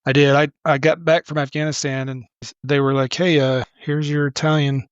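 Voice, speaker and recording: A middle-aged man, a podcast guest, talking casually in a relaxed, rambling way with many restarts. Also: fairly high pitch, fairly quick, smooth, no echo, lo-fi sound. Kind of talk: casual interview talk.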